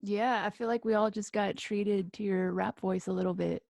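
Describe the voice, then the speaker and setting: A woman's voice: medium pitch, fairly even intonation, normal speed, vocal fry, darkish tone, dry recording, studio quality. A woman hosting a podcast interview, asking easygoing questions and complimenting her guest.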